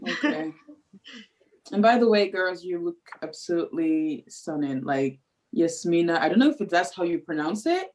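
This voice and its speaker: A woman's voice: lowish voice, expressive, moderate pace, darkish tone. A woman chatting in a friendly, talkative way on a video call during a student group meeting. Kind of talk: casual online meeting.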